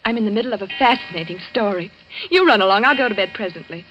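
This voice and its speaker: An actress playing a frightened, high-strung woman who hesitates and pleads in a 1940s radio drama. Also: animated, fast talker, noisy background, lightly acted. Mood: uneasy, defensive. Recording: dull vintage radio recording.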